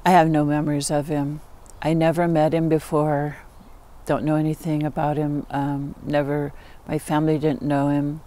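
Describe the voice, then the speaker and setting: A woman's voice: deep voice, flat delivery, normal speed, dark tone. A woman in her sixties recalling her life in an unscripted, hesitant way, recorded outdoors in a backyard. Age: 60s. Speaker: documentary interviewee. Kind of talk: unscripted personal recollection.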